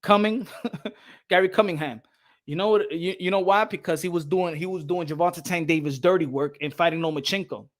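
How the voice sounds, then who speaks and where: Excitable man ranting about boxing. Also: high-pitched, fairly quick. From Dominican Republic. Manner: excitable, swears, mimics others, switches into Spanish. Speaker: boxing podcast host. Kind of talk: heated live-stream rant, reading chat.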